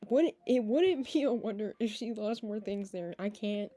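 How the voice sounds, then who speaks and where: A woman's voice: mid-range voice, normal speed, dark tone. A nineteen-year-old woman reading a visual novel aloud, breaking off into chatty, laughing tangents. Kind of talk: game playthrough with casual asides.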